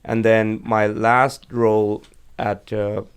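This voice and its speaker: A man in his forties, originally from Sri Lanka, speaks as a podcast guest in a low, steady register. His voice is smooth and resonant, with little rise and fall. He tells his story in a measured way, pausing on frequent fillers. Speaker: guest, energy executive. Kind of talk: conversational interview. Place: podcast studio.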